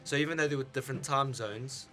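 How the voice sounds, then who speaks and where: A man's voice: medium pitch, quick pace, pushed and bright. A man co-hosting a radio movie show, musing aloud in casual chat with stumbles and repeated words.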